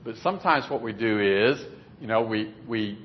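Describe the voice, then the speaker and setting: A man's voice: on the low side, moderate pace, a little hiss or hum. A man preaching a sermon in a conversational, plainspoken way in a church meeting room. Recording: dull lo-fi recording.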